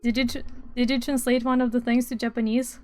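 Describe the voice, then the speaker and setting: A woman's voice: a little high, flat delivery, quick pace. A young woman from Estonia, streaming and chatting casually, rambling with dry sarcastic asides. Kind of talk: casual live-stream chat. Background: faint music.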